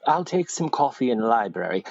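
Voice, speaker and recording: Man acting a husky, genteel London doctor. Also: medium pitch, big pitch swings, brisk, no echo, dull audio. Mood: genial. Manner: fussy, genteel, exclamatory. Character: genteel, wry older London doctor, society chairman. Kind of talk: radio play acting.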